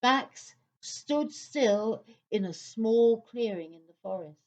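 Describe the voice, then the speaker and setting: A woman's voice: on the high side, lively intonation, takes it slowly, a little mellow. A woman narrating a children's story in a theatrical, suspenseful style.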